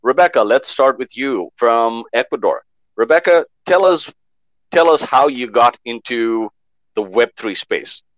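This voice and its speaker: A man hosting a live online panel show, lively and fond of repeating words for emphasis. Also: medium pitch, measured pace, low-quality recording. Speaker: host.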